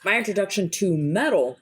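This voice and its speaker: A woman's voice: mid-range voice, lively intonation, normal speed. A woman, chatting casually and telling stories as a podcast co-host. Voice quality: husky smoker's voice. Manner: chatty, laughs easily, storytelling. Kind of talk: casual chat.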